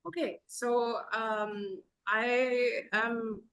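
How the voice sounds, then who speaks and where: A woman's voice: on the high side, fairly even intonation, fairly bright tone, clear, resonant tone. Chatty woman from Zambia, rambling storyteller. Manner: rambling, conversational storytelling with many pauses. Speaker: podcast guest. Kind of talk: casual podcast conversation.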